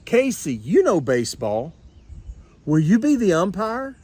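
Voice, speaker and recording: A man's voice: high-pitched, wildly swooping pitch, unhurried, a little mellow, heavily acted. An older man voicing an eager, bossy male mouse who captains a baseball team in a storybook, rallying and calling out orders.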